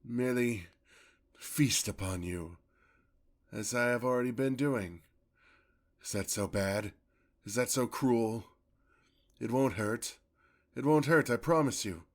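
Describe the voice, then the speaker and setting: A man playing a courtly, hungry vampire lover in an ASMR fantasy roleplay, with formal, theatrical speech and a gravelly voice. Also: fairly low pitch. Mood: hungry, menacing, coaxing. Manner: theatrical, formal, drawn-out endearments. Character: courtly, hungry male vampire, tender yet predatory lover.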